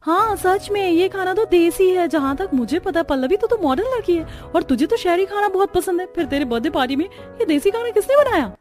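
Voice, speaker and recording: A woman voicing a lively, blunt young female party guest with a chatty, eager manner. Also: very high-pitched, fairly quick, few pauses, faint music behind, pitched above the performer's own voice. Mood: curious.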